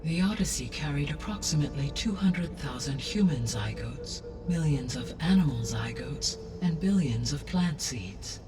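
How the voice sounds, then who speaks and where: A woman playing a composed, learned artificial intelligence in a video game, speaking in a measured, formal and precise way. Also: low voice, lively intonation. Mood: calm, analytical. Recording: distant sound, clear game music behind. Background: music.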